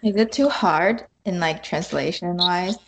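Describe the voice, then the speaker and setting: A woman guest on a podcast, chatting casually and haltingly as she searches for words. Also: fairly low pitch. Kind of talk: casual conversation.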